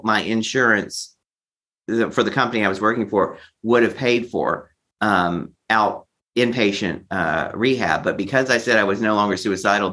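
A man's voice: fairly low pitch, bright, forceful tone. A man telling a personal story as an interview guest, speaking candidly and with a theatrical streak. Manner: candid, theatrical, acts out quoted remarks. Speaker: interview guest, memoir author.

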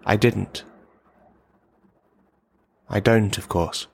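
Low-voiced, bitter army veteran, acted by a man. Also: fairly even intonation, extremely slow, gravelly, husky, exaggerated voice. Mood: defensive, bitter. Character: bitter, rambling male army veteran, brittle and menacing. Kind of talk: radio play dramatic monologue.

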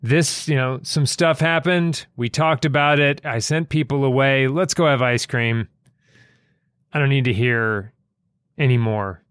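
A man discussing stories thoughtfully as an author and host, speaking haltingly and repeating phrases for emphasis. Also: medium pitch, a bit bright, clear, resonant tone, no echo, studio quality.

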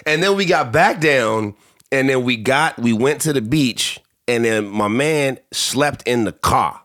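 A man's voice: mid-range voice, animated, unhurried, fairly bright tone, no room sound, studio quality. A man chatting casually as a podcast co-host, with laid-back, slang-heavy banter. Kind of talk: casual chat.

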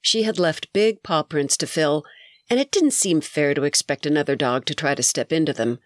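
Stuffy-voiced woman host, low and dry. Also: normal speed, dry recording. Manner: reflective, gently wry storytelling. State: stuffy from a cold. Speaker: podcast host. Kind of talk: reading a personal essay.

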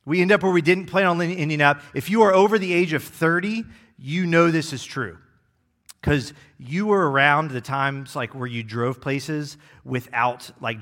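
A young man preaching a sermon as executive pastor, relaxed and joking, with many fillers. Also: medium pitch. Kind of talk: casual sermon. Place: church.

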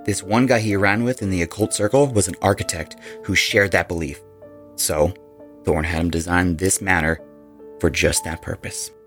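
An actor playing a calm, occult-minded rock musician who speaks earnestly. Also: male voice, low-pitched, normal speed, faint music behind.

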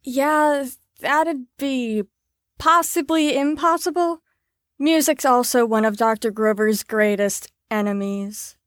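A woman's voice: high voice, slow, no echo, studio quality. A woman playing a chipper but firm mental facility staffer in an audio drama, with a perky, authoritative manner. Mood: firm, dismissive.

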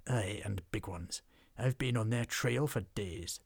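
A man voicing a fierce, burly male dwarf troll slayer who speaks slowly and menacingly. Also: on the low side, no echo, exaggerated voice. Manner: gruff, slow and menacing.

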